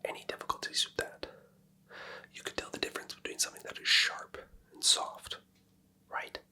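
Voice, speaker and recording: A person whispering gentle, patient clinical instructions in a close, quiet ASMR medical roleplay as a doctor. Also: moderate pace. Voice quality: whispered, mostly unvoiced. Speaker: ASMR creator playing a doctor.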